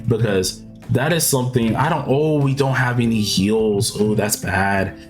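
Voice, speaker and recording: A man with a sick, hoarse-sounding voice, casually explaining his gameplay moves step by step. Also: medium pitch, unhurried, faint music behind. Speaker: video game commentator. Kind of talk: gameplay walkthrough commentary.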